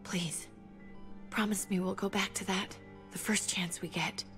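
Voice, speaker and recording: An actress playing a weary, anxious wife and survivor, speaking in a hushed, intimate way, in a cinematic video game. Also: female voice, mid-range voice, fairly even intonation, measured pace, faint music behind, natural voice. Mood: scared, pleading. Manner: hushed, often breathy near-whisper, intimate.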